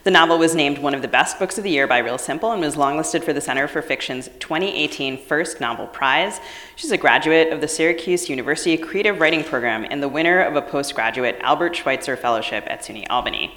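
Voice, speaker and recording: Low-voiced woman co-host in a hall. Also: quick pace, a bit bright. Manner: reads a formal guest introduction, then asks careful questions. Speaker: podcast co-host. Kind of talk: live podcast interview. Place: stage at a live book festival event. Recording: hall reverb at a live event.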